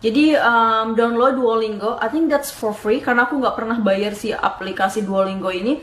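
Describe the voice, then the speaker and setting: A woman's voice: fairly high pitch, moderate pace, a bit bright. A young woman from Indonesia giving casual vlog tips, chatty and laughing at herself as she switches between two languages. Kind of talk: casual vlog talk to camera. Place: home room in Dublin. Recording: echoey room, faint background noise.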